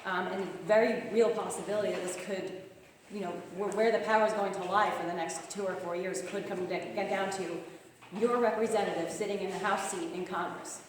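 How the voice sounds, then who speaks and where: Fast-talking Long Island woman, hoarse. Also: medium pitch, fairly even intonation, slight background noise. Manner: fast-talking, earnest appeal. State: losing her voice. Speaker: campaign manager. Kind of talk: speech at a club meeting. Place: meeting room. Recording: echoey hall from far off.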